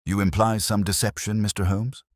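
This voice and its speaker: A man's voice: fairly low pitch, little pitch movement, moderate pace, dry recording, clean studio sound, voice pushed up, lightly acted. A man voicing a guarded, courteous senior records official in an audiobook, choosing formal words with hesitant care. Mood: uneasy, careful. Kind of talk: audiobook character reading.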